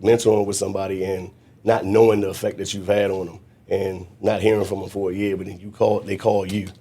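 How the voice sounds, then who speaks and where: A man being interviewed as a mentor, talking in an earnest, reflective way with frequent restarts and a naturally loud voice. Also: deep voice, level tone, fairly quick, slightly rough. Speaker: guest mentor. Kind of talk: conversational interview.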